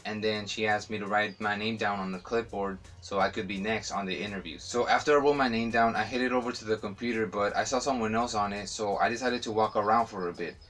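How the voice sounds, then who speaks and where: A young man with a slight Spanish accent in English, casually telling a story to camera with relaxed fillers. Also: on the low side, fairly quick, few pauses. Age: young adult. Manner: relaxed, chatty, frequent fillers. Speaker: vlogger.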